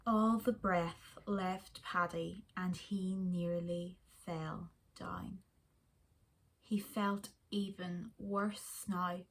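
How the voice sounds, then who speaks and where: A woman reading a children's story aloud in an expressive, dramatic way with deliberate pauses. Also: fairly low pitch.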